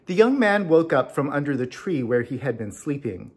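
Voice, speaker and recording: A man's voice: medium pitch, sing-song, normal speed, husky. A man introducing and reading a story aloud in a storytelling style.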